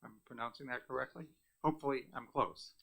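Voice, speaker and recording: A man chairs a legislative hearing. His voice is gravelly with a bright edge. He calls the next witness and keeps the proceedings moving in a polite, procedural way. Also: medium pitch, fairly quick. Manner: polite, procedural, slightly unsure over names. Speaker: committee chair.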